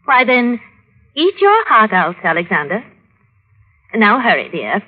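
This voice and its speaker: An actress playing a genteel, serenely composed and politely bossy middle-aged wife in an old-time radio drama. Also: female voice, on the high side, wildly swooping pitch, unhurried, exaggerated voice. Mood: gracious, composed. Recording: dull vintage radio recording.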